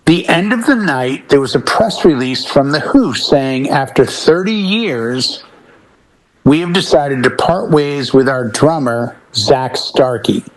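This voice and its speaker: A man's voice: medium pitch, expressive, slow, low-quality recording. A man in a casual, opinionated panel discussion, speaking emphatically and insistently and acting out quotes as he tells stories. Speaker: podcast panelist.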